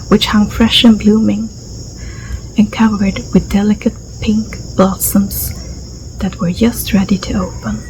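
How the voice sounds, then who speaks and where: A woman with a Swedish accent, a native Swedish speaker, reading a story as soft-spoken ASMR in a gentle, breathy voice that often drops to a whisper. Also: mid-range voice, rough recording. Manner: gentle, unhurried, soothing. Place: outdoors in a garden. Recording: clear outdoor ambience with insect noise. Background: crickets and insects, garden ambience.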